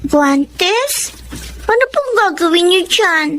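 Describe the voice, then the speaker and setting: Squeaky, eager little girl. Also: slow, full character voice. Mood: curious, eager. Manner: childlike, polite, excitable. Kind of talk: Filipino radio drama acting. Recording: faint background ambient noise.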